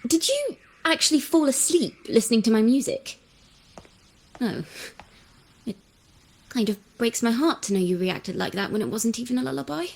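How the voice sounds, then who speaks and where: A friendly, excitable young woman from a video game. Her voice is high and airy, and she speaks in an expressive, theatrical, playful way. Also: normal speed, creaky, pauses often. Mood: playful, curious. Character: friendly, excitable, dramatic young female musician.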